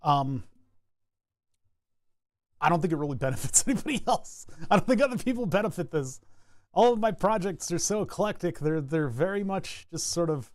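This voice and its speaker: A man's voice: high voice, animated, moderate pace, no echo, clean studio sound. A man streaming live, chatting casually while he designs a circuit board, thinking aloud and often restarting his sentences. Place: home computer desk.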